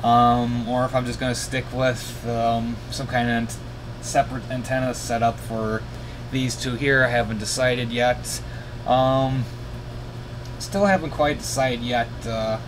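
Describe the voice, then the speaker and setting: A man's voice: medium pitch, unhurried. A tired man giving a casual, hesitant, rambling hands-on demonstration as a radio hobbyist, thinking aloud in a small room. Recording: noisy room, steady background hiss.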